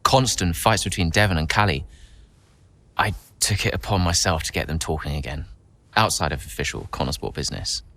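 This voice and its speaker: A man's voice: sits low, a little fast, pushed and bright, dry recording. An actor playing an earnest, awkward male racing driver trying to make peace in a video game cutscene, with a hesitant, sincere way of talking. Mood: rueful.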